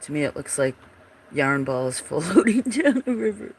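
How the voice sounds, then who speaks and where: A man with a froggy, gravelly voice talks slowly and loosely, drifting in and out as he turns away from the mic. A faint hiss sits under the home recording. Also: a little high, sing-song. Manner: slow, meandering, trailing off while searching. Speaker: livestream host showing his drawings. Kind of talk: casual rambling commentary.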